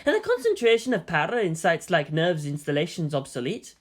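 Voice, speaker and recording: A narrator voicing a tolerant old former commander in a story, speaking in a measured, formal, dryly musing way. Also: mid-range voice, huge pitch swings. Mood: calm musing.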